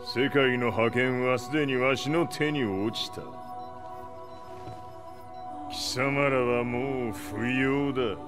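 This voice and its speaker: An actor playing a ruthless old warlord in a video game, with archaic, imperious Japanese speech. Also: male voice, mid-range voice, steady tone, unhurried, pauses often, close to an everyday voice. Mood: scornful. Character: ruthless, triumphant old male warlord of a conglomerate. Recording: loud cinematic music behind.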